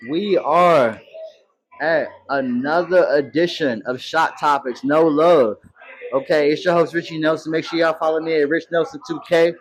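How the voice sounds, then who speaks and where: A man's voice: a little high, steady tone, normal speed, low-quality recording. Loose, rambling male interview host. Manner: loose, rambling questions in slang. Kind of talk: casual interview.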